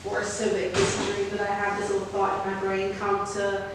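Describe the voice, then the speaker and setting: A woman's voice: mid-range voice, completely flat delivery, moderate pace. A woman moderating a group discussion in an informal, effusive way. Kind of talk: casual hosting talk. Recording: distant, echoey room with clear noise.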